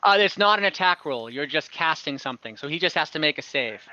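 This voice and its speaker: A man runs an online tabletop session as game master, working through rules aloud with frequent restarts and fillers. His voice is bright and a little high, and the dry home mic gives it a dull lo-fi edge. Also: lively intonation, fairly quick, dry recording. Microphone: home mic on an online call.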